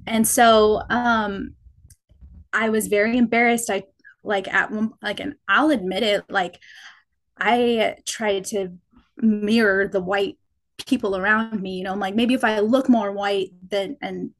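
A young woman, a podcast guest, sharing personal experiences in a reflective, halting way with many restarts. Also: mid-range voice, fairly even intonation. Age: young adult. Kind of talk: personal storytelling in conversation.

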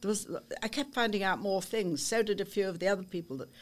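An older woman with a Liverpool accent, a podcast guest recalling her youth in a chatty, storytelling way with frequent pauses and ums. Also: mid-range voice. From Liverpool, England. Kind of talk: reminiscing interview. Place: small office studio.

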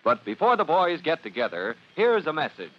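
A man's voice: fairly high pitch, wildly swooping pitch, brisk. A man narrating dramatically as the announcer of an old-time radio serial. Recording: muffled, noisy old radio recording.

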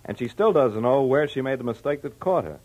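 A man's voice: medium pitch, lively intonation, brisk, darkish tone, dull audio, close to an everyday voice. An American man playing a shrewd, plainspoken police detective in a 1940s radio drama. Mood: explaining, brisk.

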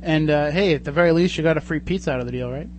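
A man hosts casual live talk radio in a fairly high voice. He talks fast and chattily, with a joking streak. There is clear noise and hum behind him. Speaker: radio show host.

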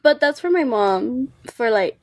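A woman's voice: a little high, expressive, a little slow, no echo. Dry-sounding young woman, easygoing podcast host. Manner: easygoing, quotes herself in Spanish, laughs. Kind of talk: casual chat.

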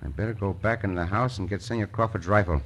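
Gallant Mexican cowboy hero, noisy old recording. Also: male voice, low voice, fast. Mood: grave, resolute. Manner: decisive, formal phrasing. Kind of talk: old radio western acting.

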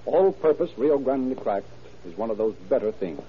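An American man announces in scripted old-time radio style, as narrator and sponsor spokesman. His pitch is average. The recording is narrow and tinny, like an aged broadcast. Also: very animated, moderate pace, a little hiss or hum.

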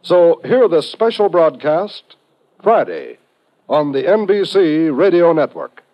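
A man's voice: a little high, sing-song, slow pace. A man announcing on 1950s network radio, reading a script in a formal, declamatory way. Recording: dull old radio recording.

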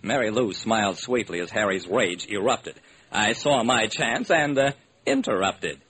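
A man's voice: lowish voice, lively intonation, unhurried, a bit bright. An actor playing a wry private detective who narrates his case in theatrical rhyme, in old-time radio style. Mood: wry narrating. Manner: theatrical, sing-song rhyming delivery. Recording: noisy vintage radio recording.

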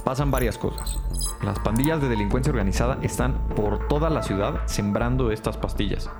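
An actor playing a wry, tech-savvy police analyst briefing detectives in an audio drama. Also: male voice, medium pitch, brisk, music in the background, close to an everyday voice. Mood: wry, informative.

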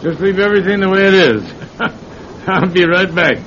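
A man's voice: expressive, normal speed, fairly bright tone. A man acting in an old-time radio drama as a sly thief posing as a folksy, fatherly priest, with hesitant, chuckling delivery. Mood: flustered, ingratiating. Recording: muffled, noisy old radio recording with rumble.

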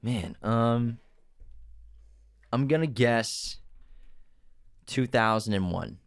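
A man's voice: mid-range voice, extremely slow, no room sound, clean studio sound. A man co-hosting a casual movie podcast, enthusiastic as he runs the quiz games. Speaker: podcast co-host.

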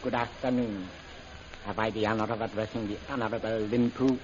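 Actor as a low-voiced renegade general, muffled radio. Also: male voice, little pitch movement, brisk, natural voice. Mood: guarded, polite. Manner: formal, courteous, terse. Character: courteous, guarded Eurasian male renegade general. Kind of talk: old-time radio adventure serial acting. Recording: muffled old radio recording, faint noise.

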